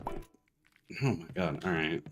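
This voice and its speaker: A man giving casual live video game commentary, rambling and thinking out loud as he plays. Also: deep voice, lively intonation, slow, noisy background. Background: game music.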